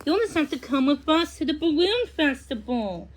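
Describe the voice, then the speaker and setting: A woman's voice: high-pitched, big pitch swings, normal speed, pitched above the performer's own voice. A woman voicing a kindly mother in a storybook, cheerful, coaxing and teasing. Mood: matter-of-fact.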